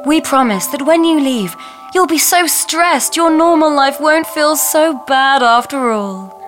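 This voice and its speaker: A woman playing a spa therapist spokeswoman, giving a measured, promotional scripted pitch in a mock advert. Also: lively intonation, fairly bright tone, soft background music. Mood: reassuring pitch.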